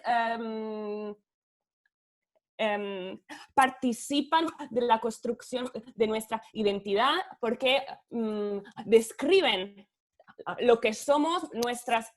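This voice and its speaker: A woman whose first language is Italian, giving an academic panel talk in Spanish over a video call, hesitant and searching for words, with many fillers. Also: a little high. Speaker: guest speaker, author.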